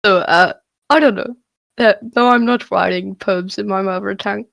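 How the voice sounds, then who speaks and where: A woman's voice: mid-range voice, normal speed, gravelly, a bit bright, dry recording. A young woman who is not a native English speaker, answering questions hesitantly on a video call, with many fillers and restarts. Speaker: student poet answering questions.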